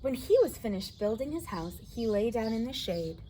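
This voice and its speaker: An American woman reads a picture book aloud as a children's storyteller. Her pitch moves in lively sweeps and she speaks straight to her young listeners, with faint noise in the background. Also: normal speed, low-quality recording. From southwestern United States desert. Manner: animated, addresses listeners directly.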